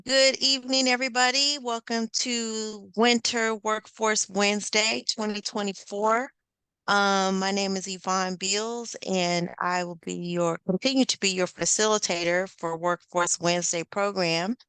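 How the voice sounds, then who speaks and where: A woman's voice: medium pitch, fairly even intonation, unhurried, a bit bright, smooth, no room sound, poor audio. A woman hosting an online session over a video call, upbeat and encouraging, with lots of filler words. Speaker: host and facilitator.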